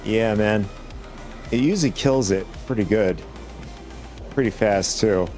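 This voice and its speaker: A man with a raspy voice from a cold, chatting casually with viewers while gaming on a stream, rambling with many restarts. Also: fairly low pitch, a little slow, a little mellow, faint music behind.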